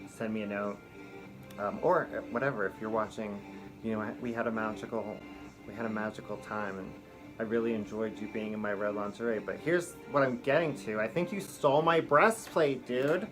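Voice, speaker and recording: A middle-aged man spins a campy, foul-mouthed story, drifting off on tangents with plenty of fillers. His voice sits at an ordinary middle pitch. Clear music plays behind him in a small home room. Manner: campy, profane, chatty, full of fillers. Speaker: drag performer and show host. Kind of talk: rambling comic storytelling monologue.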